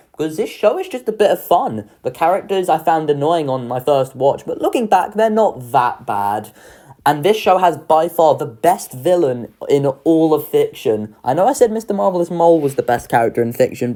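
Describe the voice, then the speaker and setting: A woman's voice: low-pitched, dark tone. A woman giving casual, opinionated video commentary as a host, informal and sardonic in manner. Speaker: video host ranking children's shows.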